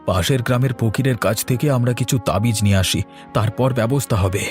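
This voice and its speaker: A man's voice: medium pitch, fairly quick, darkish tone, soft background music, close to an everyday voice. A man voicing a bold, earnest village man in a cartoon, speaking urgently and expressively. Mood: urgent, planning. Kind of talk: cartoon story voice acting.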